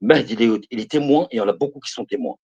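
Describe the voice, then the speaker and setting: A man's voice: mid-range voice, rapid-fire, vocal fry, darkish tone, husky, lo-fi sound. A man in his fifties giving religious advice on a live stream, emphatic and repetitive, speaking to his listeners as brothers and sisters. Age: 50s. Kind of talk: preaching to viewers on a live stream.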